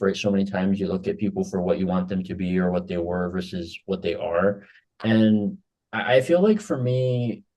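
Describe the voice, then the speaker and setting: A man's voice: on the low side, dark tone, lo-fi sound. A man from Hawaii, a guest on a business podcast, talking casually and often restarting his sentences. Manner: conversational, repeats words when restarting. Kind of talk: casual interview chat.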